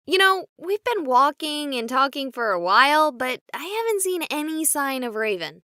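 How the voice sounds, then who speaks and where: Bright, sarcastic streamer. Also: female voice, sits high, sing-song, normal speed, dry recording, clean studio sound, exaggerated voice. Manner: sarcastic, chatty, addresses viewers. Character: young woman streamer, sarcastic and talkative. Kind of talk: voiced game dialogue.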